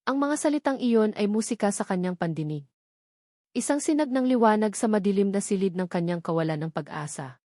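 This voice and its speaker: A woman's voice: mid-range voice, lively intonation, fairly quick, mellow, dry recording, clean studio sound. A woman reading scripted dramatic story narration.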